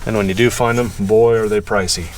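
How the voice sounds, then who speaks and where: A man's voice: fairly low pitch, fairly even intonation, fairly quick, a little mellow. A man hosting a car restoration video, talking casually, plainly and with firm opinions as he works. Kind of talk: casual hands-on vlog commentary while working. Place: in or at a car being worked on. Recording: distant mic, noisy background.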